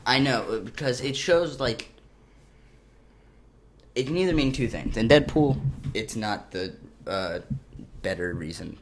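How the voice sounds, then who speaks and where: A man's voice: mid-range voice, lively intonation, measured pace, pauses often, a little hiss or hum. A young man co-hosting a casual chat, rambling and losing his train of thought. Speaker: co-host.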